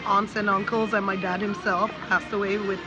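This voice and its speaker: A woman guest talks openly and at length, with plenty of pauses and fillers. Her voice sits in a middle range. Clear background music runs under her. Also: medium pitch, flat delivery, muffled recording. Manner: frank, rambling storytelling with fillers. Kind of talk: casual interview chat.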